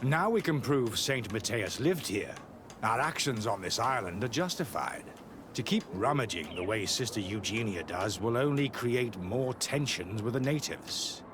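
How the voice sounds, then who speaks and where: An actor playing a guarded, zealous missionary monk and scholar in a video game, speaking in a measured, dismissive way. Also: male voice, mid-range voice, animated, noisy background, close to an everyday voice. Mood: impatient, firm. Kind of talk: video game cutscene acting.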